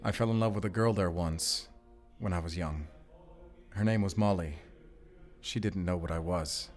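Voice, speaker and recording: A man's voice: low voice, normal speed, faint music behind, lightly acted. A man playing a guarded, haunted and defiant werewolf hunter in a cinematic audio roleplay, speaking in a terse, solemn way. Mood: wistful. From Germany.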